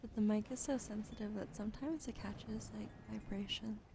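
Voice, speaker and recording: Gravelly-voiced woman whispering ASMR. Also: mid-range voice, steady tone, background noise. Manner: often whispering, soft and unhurried. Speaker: streamer. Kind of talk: ASMR livestream chat. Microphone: sensitive studio mic on a boom arm.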